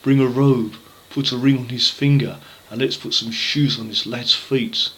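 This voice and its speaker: A man's voice: mid-range voice, steady tone, normal speed, fairly bright tone, close to an everyday voice, rough recording. A man voicing a loving, forgiving father in a told parable, speaking with emotional emphasis. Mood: joyful, commanding.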